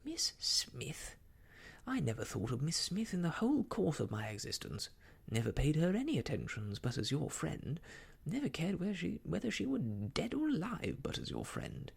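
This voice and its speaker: A man acting an effusive, husky, self-important gentleman suitor. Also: on the high side, huge pitch swings, moderate pace, pitched above the performer's own voice, full character voice. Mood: indignant, ardent. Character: ardent, vain, affronted young gentleman suitor. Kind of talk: audiobook character dialogue from a classic novel.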